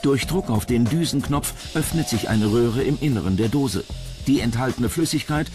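Male documentary narrator, medium pitch, clear music bed. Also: moderate pace. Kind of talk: scripted narration. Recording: music under the voice. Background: background music.

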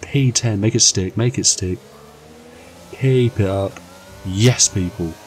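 A young man with a cold, croaky, giving excitable, jokey commentary over his racing game. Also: fairly low pitch, slow, a little hiss or hum. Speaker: gaming channel host. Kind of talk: casual gameplay commentary.

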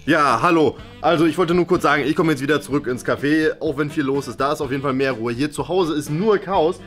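A man's voice: a little high, brisk, a bit bright, soft background music, natural voice. A young man playing a blustering, flustered middle-aged father in an improvised family sketch, stammering as he goes. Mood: exasperated. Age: young adult.

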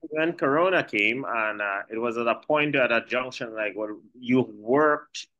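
A man's voice: mid-range voice, measured pace, pushed and bright, no echo, lo-fi sound. A middle-aged Trinidadian man, a chef and restaurant co-founder, talking in a rambling way with many restarts in a video call interview.

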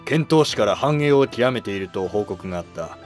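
A man's voice: fairly low pitch, lively intonation, normal speed, faint music behind, natural voice. A man playing a deferential, earnest court minister in a scripted historical drama. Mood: respectful, explanatory.

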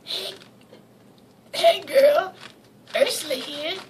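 Woman putting on a sassy high-voiced sea witch. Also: drawn-out words. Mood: sly. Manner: slangy, teasing. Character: sassy female sea witch aunt, sly dealmaker. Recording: noisy amateur puppet show recording, clicks.

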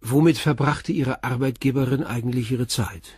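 Guy as a calm probing inspector, slightly husky, dry studio sound. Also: medium pitch, normal speed, dry recording, natural voice. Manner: terse, persistent questioning. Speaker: police inspector. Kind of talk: radio play acting.

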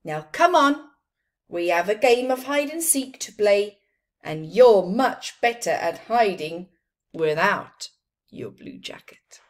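A woman's voice: mid-range voice, expressive, slow pace. A woman voicing a caring, encouraging male hoofed animal in a children's story, earnest and emphatic.

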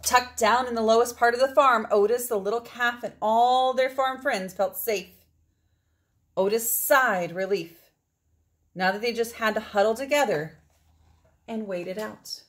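Woman reading a picture book aloud expressively. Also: fairly high pitch. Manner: dramatic phrasing with drawn-out words for emphasis. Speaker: storybook reader.

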